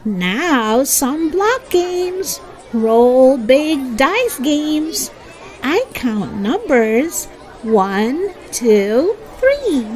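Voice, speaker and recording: High-pitched, very slow woman reading to children. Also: sing-song, a little mellow, slight background noise. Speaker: children's story reader. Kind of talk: expressive picture-book read-aloud for young children.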